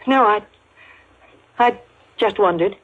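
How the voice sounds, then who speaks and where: A woman's voice: medium pitch, slow, a bit bright, a little hiss or hum. An actress playing an anxious suburban housewife hiding her worry in a 1950s radio drama, hesitant and falsely casual. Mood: nervous. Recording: muffled, husky-sounding old radio recording.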